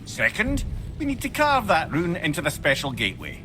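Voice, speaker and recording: A man's voice: fairly high pitch, huge pitch swings, normal speed, music in the background. An actor playing a talkative, wry, learned older man, a reanimated severed head in a video game, with a Scottish accent. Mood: explaining. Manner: chatty, rambling storyteller, wryly humorous. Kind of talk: video game character dialogue. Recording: clear background noise under game dialogue.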